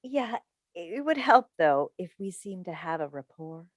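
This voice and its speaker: A woman's voice: fairly low pitch, big pitch swings, unhurried, dry recording. A woman in a staged play reading, playing a seasoned, composed talk show host and mother who tries to placate people. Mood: patient, diplomatic. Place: indoors on a Zoom call. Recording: dry video call recording.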